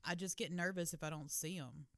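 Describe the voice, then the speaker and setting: A woman's voice: deep voice, a little fast, a bit bright, no echo, studio quality. A woman chatting casually on a podcast, playful and mock-grandiose, laughing often.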